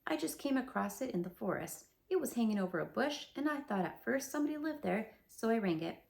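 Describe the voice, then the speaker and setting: A woman's voice: fairly high pitch, animated, fast talker, gravelly. A woman voicing a pompous, long-winded male owl. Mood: chatty. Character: pompous wordy male owl, self-important.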